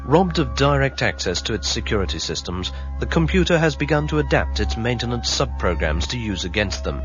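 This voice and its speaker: A man acting as grave narrator of a 1970s sci-fi radio serial, giving a scripted dramatic recap. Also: fairly low pitch. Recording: dull lo-fi radio recording, music behind.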